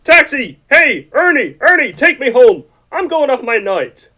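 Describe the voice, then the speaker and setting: A man's voice: very high-pitched, sing-song, a little slow, pushed and bright, full character voice. A man voicing a frantic puppet frog who plays a bewildered small-town family man in a comic radio play, with a thin, strained, pleading, folksy delivery. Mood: agitated.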